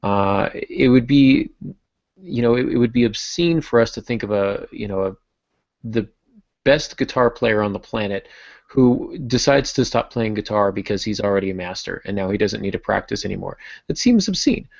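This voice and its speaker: A man hosting a podcast in casual discussion, thinking aloud in a halting way. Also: fairly low pitch, expressive, vocal fry, dry recording, dull audio. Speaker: podcast host.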